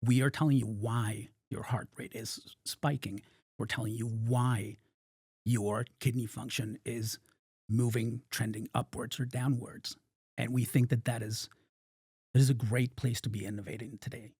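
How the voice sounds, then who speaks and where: A middle-aged man from Argentina speaks in a mellow, relaxed voice. His answers come measured and thoughtful, often drifting quieter at the ends of phrases, in a dry, close recording. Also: medium pitch, breathy edge, no room sound. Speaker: startup founder and guest. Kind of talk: interview answers.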